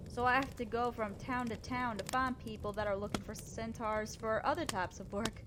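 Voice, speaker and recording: A woman playing a kind, selfless, self-doubting female centaur in a roleplay audio drama, speaking earnestly, gently and hesitantly to the listener. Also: a little high, noisy background, natural voice. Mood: resigned.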